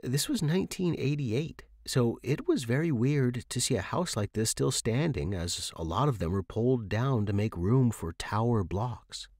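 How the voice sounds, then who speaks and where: A man's voice: medium pitch, lively intonation, normal speed, few pauses, a little mellow, dry recording, studio quality. A man reading a story aloud as a scripted, dramatic fiction narration. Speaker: audiobook narrator.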